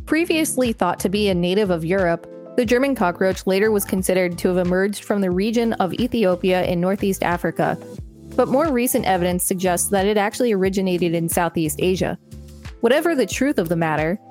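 Female co-host, average pitch, article narration plus casual asides, light music bed. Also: darkish tone. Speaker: podcast co-host reading encyclopedia articles. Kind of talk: read-aloud narration with casual commentary.

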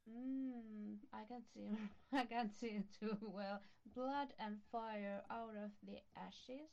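Slow, creaky-voiced woman co-hosting. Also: a little high, fairly even intonation, darkish tone, highs cut off. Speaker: podcast co-host. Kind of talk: casual podcast chat.

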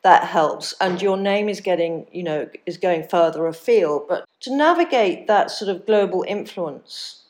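A middle-aged woman hosts a relaxed podcast chat in a somewhat low voice. She talks directly and chattily, often letting her sentences drift off softly as a thought winds down. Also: unhurried, few pauses. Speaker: podcast host. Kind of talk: casual interview chat.

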